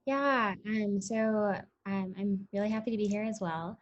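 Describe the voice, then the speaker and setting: A woman's voice: medium pitch, fairly even intonation, unhurried. A woman, answering interview questions in a chatty way full of ums and likes. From Connecticut, US. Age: 20s. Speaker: interview guest, tech startup founder. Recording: muffled lo-fi audio.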